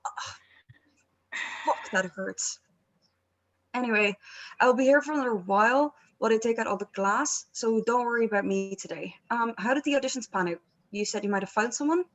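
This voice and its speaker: A woman as patient, higher-voiced band manager Greg. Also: normal speed, pauses often. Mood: matter-of-fact.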